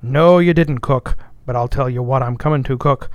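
A man's voice: medium pitch, normal speed, no echo, close to an everyday voice. A man voicing a bossy ship's officer who teases and commands with mock solemnity in an audiobook. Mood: commanding. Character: bossy, mocking ship's officer, jauntily interrogating. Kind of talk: audiobook character voice.

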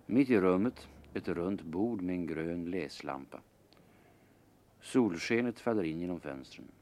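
A man narrating a 1950s radio theatre play, reading stage directions in a measured, formal way. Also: low-pitched, a little mellow.

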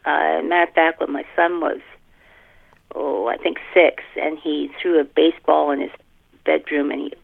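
An older woman, a guest author chatting casually in a podcast interview, animated and self-deprecating, repeating words for emphasis. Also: deep voice, moderate pace, creaky, slightly rough. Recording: thin band-limited phone line.

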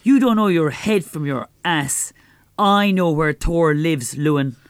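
Tough gang member, dry sound. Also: female voice, sits low, unhurried, clean tone, dry recording. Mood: scornful. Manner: blunt, coarse. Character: tough, foul-mouthed woman gang member. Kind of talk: comedy audio drama acting.

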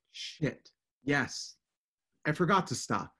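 Man acting a husky, casual husband with swooping pitch. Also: animated, drawn-out words, sharp tone, heavily acted. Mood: sheepish, dismissive. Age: 30s. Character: inattentive, casual husband in his mid-thirties. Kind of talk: radio drama acting.

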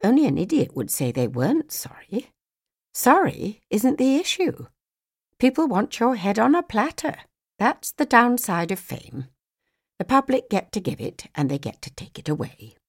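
A woman voicing a hard-nosed, scolding female talent agent in an audiobook, with a brisk, blunt, emphatic manner. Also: medium pitch, very animated, breathy edge, no echo, clean studio sound, voice pushed up. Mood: impatient.

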